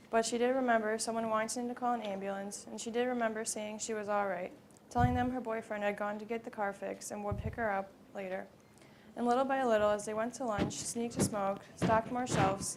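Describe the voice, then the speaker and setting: A woman reading prose narrative aloud at a live event, in a measured, dramatic way with phrases that trail off. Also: mid-range voice. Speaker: student performer in readers theater. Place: live college library event. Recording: live event recording with background noise.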